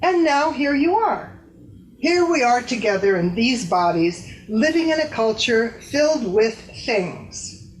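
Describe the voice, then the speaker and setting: A woman reading a literary piece deliberately, pausing between phrases. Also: fairly high pitch, low-quality recording. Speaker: literary reader. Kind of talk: staged reading of a personal essay.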